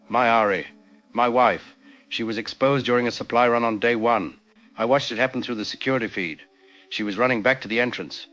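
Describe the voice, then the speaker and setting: A man playing a grieving scientist recording a desperate, halting confessional log in an audio drama. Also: on the low side, a bit bright, highs cut off, lightly acted. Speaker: doctor and researcher.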